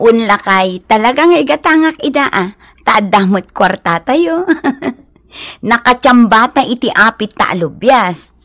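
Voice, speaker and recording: A cheerful, sympathetic farm wife in an Ilocano radio drama. Her voice is on the high side and very lively in pitch, with a gentle manner and an Ilocano accent. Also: a little slow. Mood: happy.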